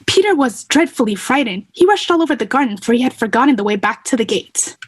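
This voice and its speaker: Young woman, slightly high voice, reading a kids story out loud. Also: animated, fast, husky. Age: young adult. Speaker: student volunteer reader. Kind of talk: children's storybook read-aloud.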